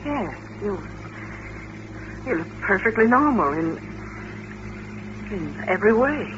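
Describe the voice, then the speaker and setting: A woman's voice: mid-range voice, big pitch swings, slow, lo-fi sound. An actress in a 1950s radio drama playing a naive young female chorus dancer. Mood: curious, friendly. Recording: noisy, band-limited vintage radio recording.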